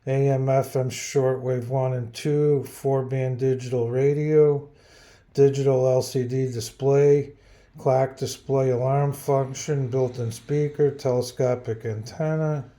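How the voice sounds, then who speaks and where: A man giving a casual, unscripted product review in a halting, offhand, plain-spoken way. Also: medium pitch, steady tone, dark tone.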